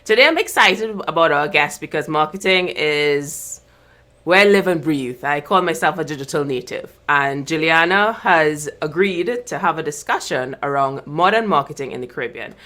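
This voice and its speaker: A woman's voice: deep voice, expressive, normal speed, sharp tone. A woman hosting a live online interview show, speaking in an upbeat, chatty way and laughing easily, over a video call. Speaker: host.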